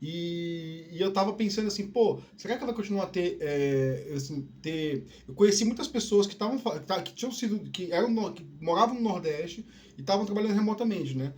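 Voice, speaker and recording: A man with a softened Northeastern Brazilian accent and a high-pitched voice talks on his own in a loose, casual monologue. He rambles, restarts his sentences, and keeps tagging them with little checking questions. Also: expressive, a little fast. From Northeast Brazil (Piauí family). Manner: rambling, many restarts and "né?" tags. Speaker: podcast host.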